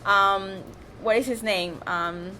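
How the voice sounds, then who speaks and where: A woman's voice: medium pitch, steady tone, slow pace, sharp tone, slight background noise, low-quality recording. A young woman from Ecuador co-hosting a casual movie review chat, bubbly and laughing often. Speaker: co-host.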